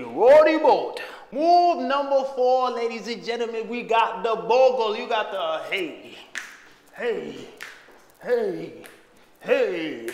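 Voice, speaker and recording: Young man, dance instructor, high pitch, energetic shouts, roomy reverb, mic at a distance. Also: a little hiss or hum. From Haitian background. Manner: energetic, hypes and shouts while dancing. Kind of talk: dance tutorial, counting and calling moves. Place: empty room with bare walls, indoors. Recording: echoey empty room.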